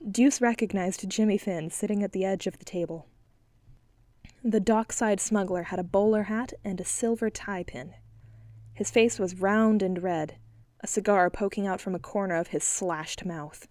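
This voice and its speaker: A woman narrating an audiobook with steady, clear storytelling. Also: medium pitch, moderate pace, no room sound, clean studio sound. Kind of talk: scripted narration.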